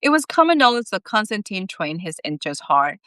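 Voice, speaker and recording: A woman reading her own fiction aloud as host, in an animated, dramatic storytelling manner. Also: medium pitch, fairly quick, no room sound, clean studio sound. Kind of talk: expressive storybook reading on a live stream.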